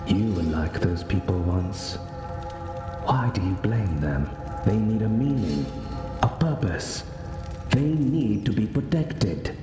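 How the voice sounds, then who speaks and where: Deep, slow, cryptic mentor. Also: male voice, animated. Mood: solemn, instructive. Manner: measured, formal, instructive. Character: wise male mentor, calm, cryptic and wry. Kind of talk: video game cutscene acting. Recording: echoey hall reverb, music behind.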